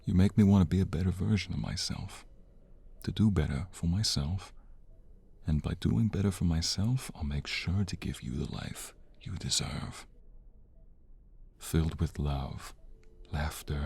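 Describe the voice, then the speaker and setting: A man playing a tender, devoted mobster husband in an ASMR romance roleplay, speaking in a hushed, earnest, husky, gravelly voice. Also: sits low, full character voice. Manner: earnest, sentimental, hushed, unhurried.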